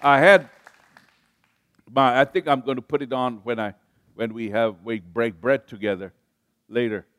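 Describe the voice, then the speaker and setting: A man's voice: medium pitch, normal speed, pauses often, fairly bright tone, no echo, studio quality, some chatter behind. An older man born in Kenya preaching a sermon to a congregation, often restarting phrases and calling on listeners to respond. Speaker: pastor.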